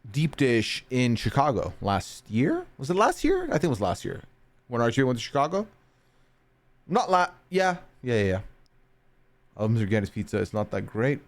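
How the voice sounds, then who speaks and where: A man streaming and answering chat in a rambling, casual way with frequent laughs, his accent sometimes taken for British. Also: mid-range voice. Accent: mixed, sometimes heard as British. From North America. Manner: chatty, rambling, laughs often.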